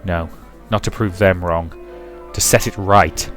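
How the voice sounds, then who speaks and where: Low-voiced man reading a visual novel. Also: steady tone, unhurried, fairly bright tone, soft background music. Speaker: let's player and narrator. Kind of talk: reading a visual novel aloud with casual commentary.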